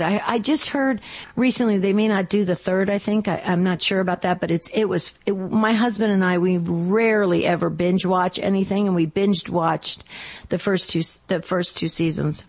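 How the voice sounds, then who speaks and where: A woman's voice: on the low side, normal speed, darkish tone, husky. A woman with a slightly scratchy voice hosting a radio call-in show in a chatty, casual, effusive way.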